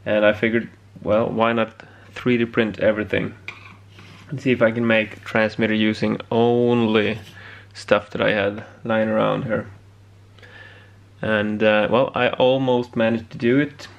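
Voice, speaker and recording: Male hobbyist demonstrator, slightly low pitch with vocal fry, informal and hesitant delivery. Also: flat delivery, dull audio. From Sweden. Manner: halting, pausing mid-phrase. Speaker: amateur radio hobbyist presenter. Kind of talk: casual hands-on demonstration. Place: indoor home electronics workbench.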